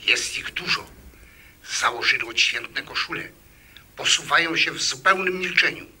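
An actor playing a tense, uneasy merchant's accomplice in a radio drama. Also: male voice, on the high side, expressive, normal speed, sharp tone, breathy edge, exaggerated voice. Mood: uneasy watchfulness. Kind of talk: Polish radio drama acting.

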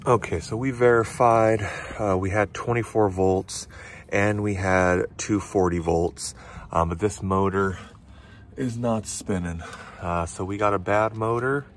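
A man's voice: fairly low pitch, steady tone, measured pace. A man in his thirties, an HVAC technician narrating a repair outdoors while he works, plain-spoken with many fillers. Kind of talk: narrating a repair job on camera. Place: outdoors beside a home's heat pump. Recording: noisy outdoor job site with tool clatter.

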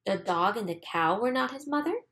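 A woman reading a children's storybook aloud expressively, with deliberate, sing-song emphasis. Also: fairly high pitch, expressive, fairly bright tone. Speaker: storybook reader.

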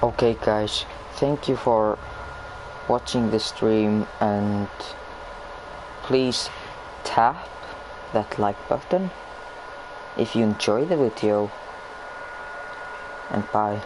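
Young Icelandic-accented man, gaming streamer, slow halting delivery, noisy home recording. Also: on the low side. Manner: slow, halting English with frequent switches to Icelandic. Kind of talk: casual gameplay commentary.

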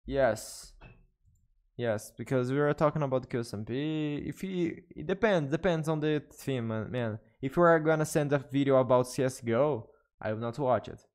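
A young Brazilian man with a Portuguese accent chats with his stream in English, pitched at an ordinary middle height for a man. He hesitates, repeats words and restarts phrases as he reads chat. He talks close to a desk mic in his bedroom setup. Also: a little mellow. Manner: hesitant, self-correcting non-native English. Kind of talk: casual live-stream chat with viewers. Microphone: studio mic on desk with headphones.

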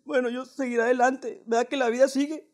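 A man's voice: very high voice, little pitch movement, quick pace, a little mellow. Wheedling Mexican man on a prank call. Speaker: prank caller. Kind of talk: casual prank phone call. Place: indoors, small living room.

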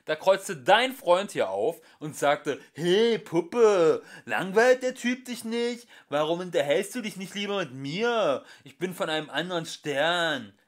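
A man's voice: a little high, pitched above the performer's own voice. A man voicing a stubborn, irritated male earthling who speaks curtly and coldly. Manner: curt, frosty, insistent.

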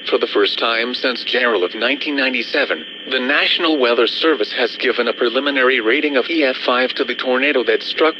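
A synthetic male announcer reads a formal weather bulletin over the radio. His delivery is impersonal and his pitch sits in the middle range. The broadcast sounds dull and lo-fi, with clear noise. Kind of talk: scripted weather bulletin narration.

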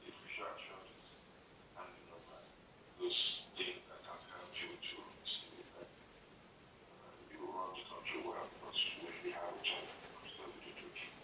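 A middle-aged official speaks in a measured, advisory way and often lets his words trail off softly. His voice is low. It is heard through a very echoey, distant pickup with clear background noise. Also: little pitch movement. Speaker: senior government official guest. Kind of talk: encouraging remarks on a video call.

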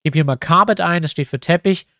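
Stuffy-nosed guy chatting to viewers while he builds a map, kinda high voice, dry close mic. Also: a little fast, pushed and bright, no room sound. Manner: chatty, thinks aloud, addresses viewers directly. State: stuffy nose from a cold. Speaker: YouTuber building a tabletop map. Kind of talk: casual screen-recorded tutorial.

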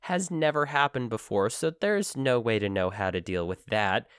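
Man, podcast co-host, worn-out voice, smooth, dry close mic. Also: mid-range voice, normal speed, dry recording. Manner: animated, playful asides. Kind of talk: casual book-club chat.

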